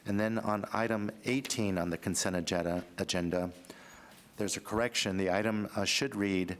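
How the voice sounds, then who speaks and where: A man in his sixties at a desk microphone in a board chamber, reading corrections to the agenda in a halting way with fillers. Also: on the low side, steady tone, a little hiss or hum. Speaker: county administrator. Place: large county board chamber.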